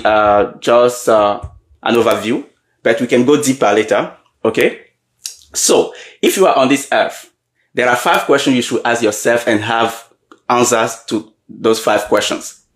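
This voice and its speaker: A man giving a motivational leadership talk, often pausing to check in with his audience and repeating key questions. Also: mid-range voice. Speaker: leadership coach. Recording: roomy echo.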